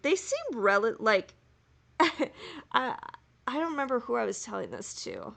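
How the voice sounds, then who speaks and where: Giggly woman podcaster with a creaky voice. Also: a little high, big pitch swings, a little slow, a bit bright. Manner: giggly, enthusiastic. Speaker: podcast co-host. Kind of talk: casual fan discussion with recap.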